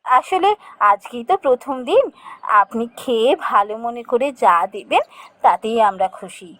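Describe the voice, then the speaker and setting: Woman as young cartoon wife, high voice, gentle, coaxing, very lively intonation. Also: normal speed, dry recording. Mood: modest. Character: young newlywed wife, gentle, practical, encouraging. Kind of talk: Bengali cartoon story dialogue.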